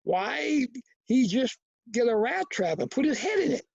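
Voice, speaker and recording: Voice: medium pitch, sing-song, unhurried, gravelly, darkish tone, dull audio, slight background noise, pitched above the performer's own voice. A reader voicing a cocky, combative young boy from a novel, with brash, slangy, goading talk. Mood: mocking.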